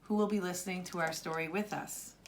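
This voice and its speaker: A woman narrating a children's story as a storyteller, with deliberate, expressive delivery. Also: lowish voice, slight background noise.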